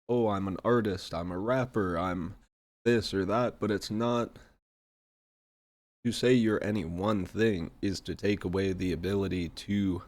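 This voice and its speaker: Deep-voiced hoarse man, musing. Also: darkish tone. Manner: reflective, rambling, many fillers. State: hoarse, recovering from a sore throat. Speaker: podcast host. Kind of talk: casual solo podcast talk.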